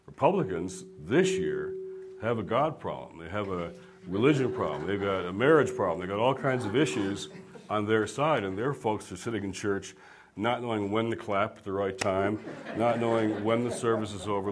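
A man with a fairly low voice talks earnestly, with a preacherly rhythm, building his points in repeated phrases. He speaks as a guest on a discussion panel. Steady noise runs underneath the recording. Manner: earnest, preacherly cadence, tells jokes. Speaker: guest author.

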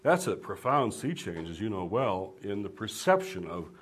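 A man's voice: on the low side, big pitch swings, normal speed, breathy edge. A man speaking as a guest author on a discussion panel, earnest, with a preacherly cadence and a habit of telling jokes.

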